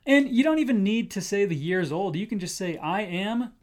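An American man in his twenties giving an upbeat, informal English lesson, enthusiastic and encouraging, slowing down to enunciate example phrases carefully. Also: sits high, expressive. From United States. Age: 20s. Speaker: English teacher presenting a lesson. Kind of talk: upbeat scripted language lesson to camera.

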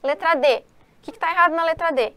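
High-voiced woman teaching exam questions. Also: fast talker, fairly bright tone, dry recording. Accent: Brazilian Portuguese. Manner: explanatory, addresses students, asks and answers own questions. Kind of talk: exam-prep lesson, reading and explaining questions.

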